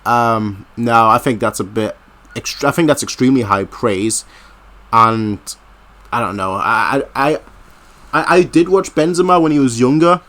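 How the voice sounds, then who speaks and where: A man's voice: medium pitch, animated, unhurried, a bit bright. A man hosting a casual football interview, hesitant and wordy as he rephrases his questions. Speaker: host, interviewer.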